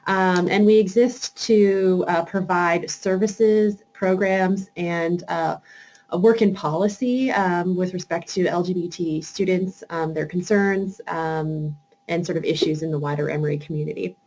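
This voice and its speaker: Soft-pitched woman with many fillers. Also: fairly even intonation, a little slow, muffled recording. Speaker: program coordinator. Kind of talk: informational webinar talk.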